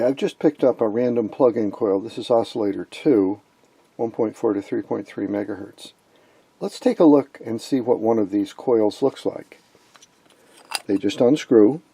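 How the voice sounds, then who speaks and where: A man giving an informal technical demonstration of vintage radio gear, explaining and pausing to point things out. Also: medium pitch, vocal fry, dark tone, breathy edge, dry recording. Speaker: host, electronics hobbyist.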